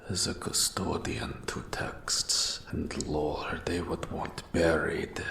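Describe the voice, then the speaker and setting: A man playing an eldritch, melancholy keeper of forbidden lore in an audio drama, speaking formally and deliberately in a breathy, gravelly whisper. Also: a bass voice, flat delivery, fairly bright tone, reverberant, full character voice. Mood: bitter, brooding. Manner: slow, deliberate, formal, ominous phrasing. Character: eldritch, nameless old archive custodian, formal, patient, melancholy mentor. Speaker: mentor in forbidden magic. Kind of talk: scripted roleplay audio drama.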